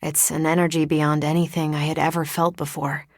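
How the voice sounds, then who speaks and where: A woman's voice: sits low, flat delivery, fairly quick, darkish tone, no room sound, clean studio sound. A woman voicing a battle-hardened, self-assured female superhero in a video game, with wry, casual delivery. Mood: earnest. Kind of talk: video game character dialogue.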